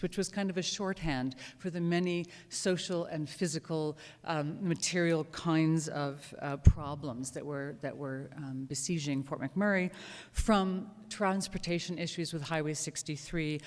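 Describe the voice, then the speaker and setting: A woman professor with a cold giving an academic conference talk, measured with frequent ums. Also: low voice, darkish tone. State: has a cold. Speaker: professor presenting research. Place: lecture hall. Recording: reverberant lecture hall.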